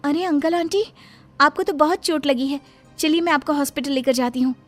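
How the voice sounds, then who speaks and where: A woman's voice: sits high, a little fast, faint music behind, natural voice. A woman voicing a cheerful, bold young daughter-in-law who loves dancing, in an eager, confident manner for a cartoon story. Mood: concerned. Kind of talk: Hindi cartoon story voice acting.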